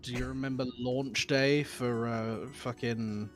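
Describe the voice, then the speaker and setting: A man's voice: medium pitch, fairly even intonation, takes it slowly, soft background music. A man bantering with a streamer, teasing and swearing. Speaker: stream co-player. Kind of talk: casual banter.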